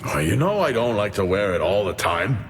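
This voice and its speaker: An actor playing a tired, sardonic space engineer in a video game, talking in a dry mutter. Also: male voice, lowish voice, sing-song, a little fast, a little hiss or hum. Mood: defensive banter. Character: wry, tired male space engineer exploring an abandoned mining base. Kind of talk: naturalistic video game acting.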